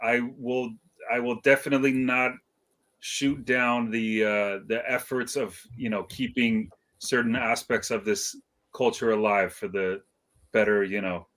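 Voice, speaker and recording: A man's voice: medium pitch, steady tone, unhurried, a bit bright. A middle-aged man from Iceland, a guest DJ in a casual interview, talking in a laid-back, modest way with many fillers and restarts.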